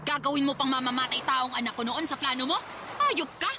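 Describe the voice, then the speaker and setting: Actress as fiercely protective mother and grandmother, radio drama; high-pitched, very expressive, emotional, noise behind. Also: a little fast, lightly acted. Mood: furious, confrontational. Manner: scolding and emphatic when roused, tender with her child. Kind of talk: Filipino radio drama acting. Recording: noisy background ambience.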